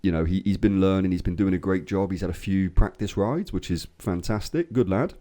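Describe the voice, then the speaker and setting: An English man co-hosting a casual podcast, swearing freely and telling lively stories while getting over a cold. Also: low voice, fairly quick. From England. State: recovering from a cold. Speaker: podcast co-host. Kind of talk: casual chat.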